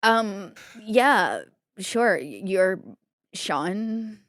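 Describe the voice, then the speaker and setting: A woman's voice: mid-range voice, expressive, very slow, fairly bright tone, dry recording, studio quality. Woman putting on a ditzy, haughty girly crime boss. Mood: breezy, unimpressed. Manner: valley-girl filler talk, casually haughty.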